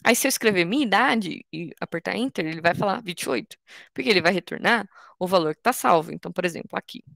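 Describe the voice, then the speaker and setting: Gravelly-voiced young woman teaching coding. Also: fairly low pitch, animated, quick pace, a bit bright, no room sound, studio quality. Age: 20s. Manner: patient, informal, explains step by step with restarts. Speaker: workshop instructor. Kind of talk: online coding tutorial.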